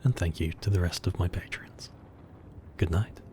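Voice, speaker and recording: Low-voiced, soft-spoken man signing off. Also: fairly even intonation, moderate pace, a little hiss or hum. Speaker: ASMR voice actor, channel host. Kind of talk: soft-spoken channel sign-off.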